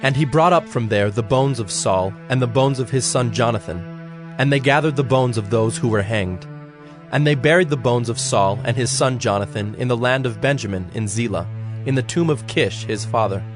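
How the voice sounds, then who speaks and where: A man's voice: mid-range voice, moderate pace. Solemn male scripture narrator over faint music.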